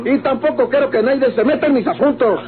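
Radionovela role: violent, suspicious Mexican man, gruff and insulting, high register, thin vintage sound. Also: fast talker. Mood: irritated, gruff. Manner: gruff, threatening, insulting, rustic speech. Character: paranoid, violent, ill-tempered man with a pistol. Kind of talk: golden-age Mexican radionovela acting. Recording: old tinny radio recording with music.

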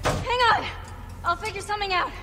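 High-pitched plucky young woman in peril. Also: a little fast. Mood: urgent, frightened. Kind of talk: cinematic video game acting. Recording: echoing space with loud background noise.

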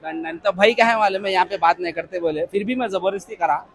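Joking Hyderabadi man vlogging a busy expo. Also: sits high. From Hyderabad, India. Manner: jokey, teasing, quick to laugh. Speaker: vlogger host. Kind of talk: casual vlog chat. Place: crowded indoor expo hall and outdoor food stall. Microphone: handheld mic.

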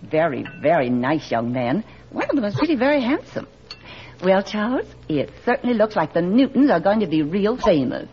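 A woman's voice: mid-range voice, big pitch swings, moderate pace, over music, close to an everyday voice. An actress playing a doting, chatty middle-aged small-town mother in 1940s radio style, folksy and deferential. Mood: excited, proud. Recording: dull 1940s radio recording.

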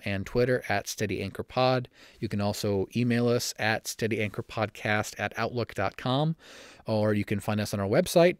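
A young man hosting a solo church-history podcast from home, slightly raspy and talking fast with frequent self-corrections. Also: lowish voice, no room sound, clean studio sound. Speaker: podcast host. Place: home room.

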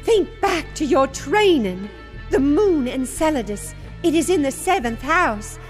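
Woman playing a high-voiced, swooping, reproachful psychic. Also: big pitch swings, a little slow, faint music behind. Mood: reproachful, knowing. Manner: dramatic, admonishing. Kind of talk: sci-fi radio comedy acting.

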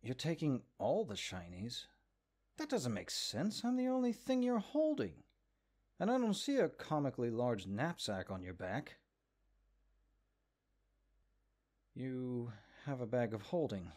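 A man's voice: mid-range voice, big pitch swings, normal speed, a little mellow. A man voicing a haughty, exasperated magic book in a comedic audio roleplay, condescending and sarcastic. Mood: wary, exasperated, then panicked, indignant. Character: exasperated, haughty talking cursed grimoire, a sentient magic book.

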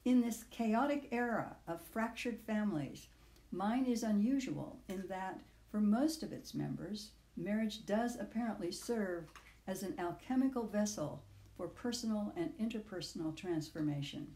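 Older woman, mellow voice, echoey room. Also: mid-range voice, expressive. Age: 60s or older. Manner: measured, literary, deliberate phrasing with pauses in lists. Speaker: author reading own audio essay. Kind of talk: reflective personal essay read aloud.

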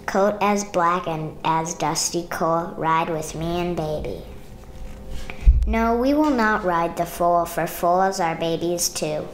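Woman reading a kids rhyming book out loud, lowish voice, takes it really slow and rhythmic. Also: a little hiss or hum, rough recording. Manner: measured, rhythmic reading of rhymes. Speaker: storybook reader. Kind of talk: children's picture book read-aloud.

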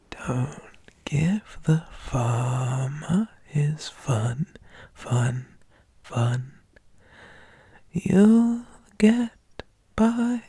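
Male actor as deranged monster boy, ASMR horror roleplay, raspy, gravelly fry, languid menace, teasing. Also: on the high side, dark tone, full character voice. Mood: creepy singsong. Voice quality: breathy, whispery rasp. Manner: slow, drawling, teasing, with sighs and chuckles. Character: deranged slit-mouthed monster boy inmate, taunting, druggy, menacing.

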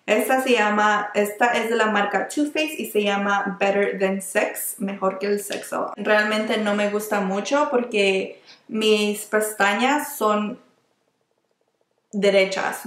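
A woman's voice: mid-range voice, steady tone, slow pace, a bit bright. A young woman reviewing makeup in a casual, chatty way, often restarting words and slipping into English terms. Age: young adult. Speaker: beauty vlogger. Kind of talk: casual product review while applying makeup. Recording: echoey small room.

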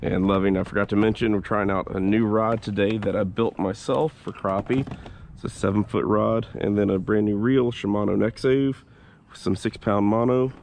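A man's voice: lowish voice, moderate pace, gravelly, a little hiss or hum. Laid-back man narrating from a kayak. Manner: relaxed, chatty, swears freely. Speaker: kayak fishing vlogger. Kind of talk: casual narration while fishing. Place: outdoors in a kayak on a swampy creek. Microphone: head-mounted action camera.

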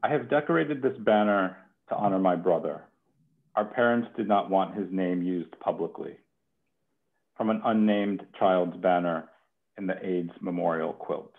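A man's voice: low-pitched, measured pace. A man in his thirties hosting a poetry reading from home over a headset on a video call. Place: home kitchen. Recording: muffled phone-like video call.

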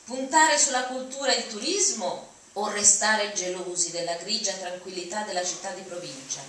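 Echoey, bright-voiced woman reading aloud. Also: mid-range voice, normal speed. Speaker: dramatic reader. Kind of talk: dramatic reading of essays aloud. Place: auditorium at a lectern. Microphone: lectern mic, recorded from audience. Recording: echoey hall from far off, faint noise.

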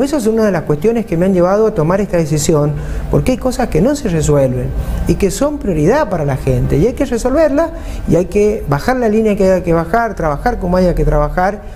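Earnest Argentine man, mellow, fairly high voice. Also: a little hiss or hum. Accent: Argentine Spanish. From San Juan, Argentina. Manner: earnest, repetitive for emphasis, speaks with his hands. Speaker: mayor and vice-governor candidate. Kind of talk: political interview answers. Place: TV studio, seated at a table.

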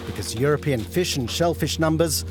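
A man's voice: fairly high pitch, normal speed, music in the background. A man reading scripted narration as a news documentary reporter.

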